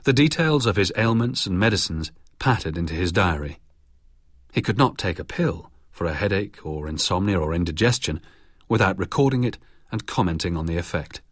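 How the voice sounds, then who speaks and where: A man reads scripted documentary narration at a measured, steady pace. His voice sits a little low with a slightly husky edge, recorded close and dry with no room around it. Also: no room sound. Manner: measured, even reading.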